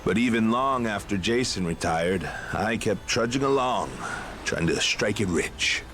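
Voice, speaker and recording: Deep-voiced, genial middle-aged treasure hunter. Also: male voice, lively intonation, measured pace, a bit bright, faint music behind. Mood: nostalgic. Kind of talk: dramatic video game voice acting.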